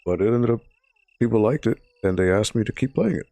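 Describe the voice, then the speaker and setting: A man in his thirties hosting a library livestream, hoarse and raspy from bronchitis, chatting casually with viewers and explaining each step as he plays. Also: fairly low pitch, expressive, a little fast, dark tone, dry recording. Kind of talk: casual gameplay commentary to a live chat.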